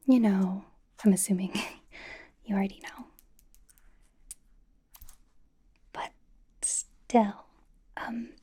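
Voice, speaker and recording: A woman playing a bubbly, nervous, supportive young pop idol in a breathy, whispered ASMR roleplay, rambling and reassuring as she goes. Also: mid-range voice, warm tone. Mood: sheepish, reassuring. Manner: rambling, giggly, reassuring, hesitant.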